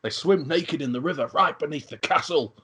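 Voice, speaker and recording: A man's voice: on the high side, brisk, background noise, natural voice. A man playing a boisterous, blunt, imperious fantasy king in his forties at an amateur table read. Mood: hearty, boisterous.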